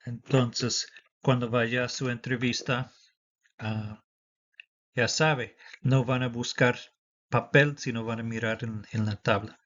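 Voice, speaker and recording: A man's voice: medium pitch, fairly even intonation, slow, pauses often, a bit bright, muffled recording. A man teaching a lesson for the citizenship test, dictating patiently and switching between Spanish and English.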